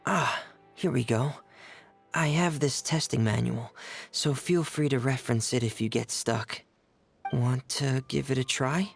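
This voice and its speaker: A man playing a shy, earnest, soft-spoken teenage boy mechanic in a video game, reserved and polite. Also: mid-range voice, a little slow, creaky, soft background music. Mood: calm, helpful.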